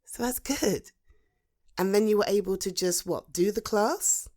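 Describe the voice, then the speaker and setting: A woman plays a supportive British female friend in an intimate ASMR audio roleplay. Her voice sits at a medium pitch. She talks soothingly and encouragingly, protective of the listener, leaving thoughtful pauses as in a real one-to-one chat. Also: mellow, lightly acted. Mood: concerned, reassuring. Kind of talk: ASMR roleplay, conversational one-sided dialogue.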